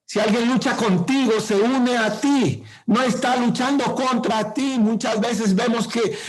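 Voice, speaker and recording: A man preaching a sermon to a congregation, with impassioned, drawn-out emphasis. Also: extremely high pitch, fairly even intonation, rough recording. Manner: speaks directly to the congregation.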